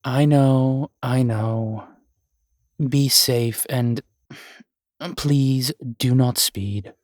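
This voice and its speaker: A man's voice: medium pitch, fairly even intonation, very slow, a little mellow, no echo, studio quality. A man playing a grumpy, jealous ghost boyfriend in an audio roleplay, with a sardonic, sullen manner. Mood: grudgingly fond.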